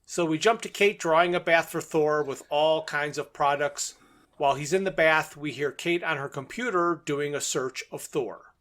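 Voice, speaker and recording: A man's voice: a little high, moderate pace, a bit bright. A man co-hosting a podcast, recapping a plot in a methodical, matter-of-fact way. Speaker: podcast co-host.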